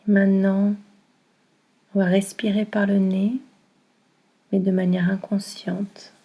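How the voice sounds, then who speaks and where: A woman leads a guided relaxation very slowly and calmly. Her voice is soft and mellow, with an even, barely moving melody. She soothes as a yoga nidra guide, giving gentle instructions. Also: medium pitch. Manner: calm, soothing, with soft breathy delivery. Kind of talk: guided meditation.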